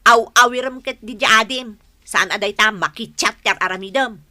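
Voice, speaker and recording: A woman's voice: fairly high pitch, moderate pace, noisy background, natural voice. An actress playing a scolding, suspicious mother in a radio drama, exclaiming and nagging with an Ilocano accent.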